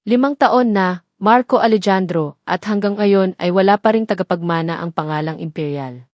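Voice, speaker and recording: A woman's voice: mid-range voice, normal speed, a little mellow, no room sound, studio quality, close to an everyday voice. A woman narrator voicing a stern, cutting eighty-year-old family matriarch in a storybook drama, cold and imperious. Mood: cold reproach.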